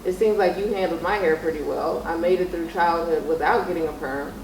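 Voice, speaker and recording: A woman's voice: fairly low pitch, steady tone, fairly quick. A young woman hosting a casual vlog, asking questions in an informal chat. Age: young adult. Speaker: vlog host interviewing her mother. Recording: echoey room, distant mic, faint noise.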